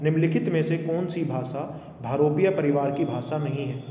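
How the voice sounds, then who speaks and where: A man's voice: on the high side, moderate pace, warm tone. A man teaching a quiz lesson to online viewers, repeating questions instructively and switching into English phrases. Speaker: online exam coaching teacher. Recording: echoey reverberant room with faint noise.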